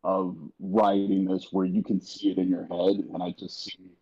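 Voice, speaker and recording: A man in a casual panel chat, talking haltingly with repeated and restarted phrases, over a slightly dull lo-fi connection. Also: deep voice, completely flat delivery, mellow, husky. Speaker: guest on an audio drama after-show.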